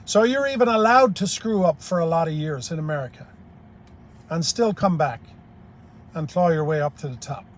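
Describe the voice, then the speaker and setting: A man's voice: fairly high pitch, expressive, normal speed, a little hiss or hum. An older man from Ireland giving a blunt, plain-spoken, rambling opinion monologue as a YouTube commentator.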